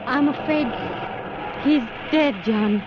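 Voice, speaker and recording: A muffled, noisy vintage radio recording of a fragile young American woman. She speaks very slowly and hesitantly in a high voice, with the fearful delicacy of a 1940s radio heroine. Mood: subdued, halting. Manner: halting, hesitant. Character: frightened, fragile young woman finding quiet courage. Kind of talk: 1940s radio drama acting.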